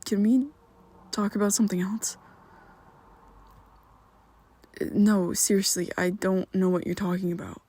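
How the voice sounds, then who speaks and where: A woman voicing a secretive, protective young male vampire best friend in an ASMR roleplay, talking haltingly and often dropping to a whisper. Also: medium pitch, steady tone, mellow, no echo, close to an everyday voice. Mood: confused.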